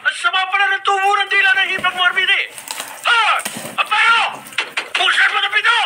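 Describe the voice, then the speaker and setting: Menacing bully, very high-pitched, aggressive, radio drama, heavy noise. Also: male voice, level tone, brisk. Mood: angry threats. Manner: menacing, shouting. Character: aggressive, threatening accomplice of a scheming aunt. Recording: very noisy radio drama recording.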